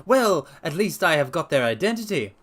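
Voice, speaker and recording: A man voicing a brisk, confident, eloquent detective in a dramatic story reading, explaining with emphatic precision. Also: on the high side, sing-song, fairly bright tone, voice pushed up. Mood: brisk, pleased. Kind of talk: dramatic audiobook-style character reading.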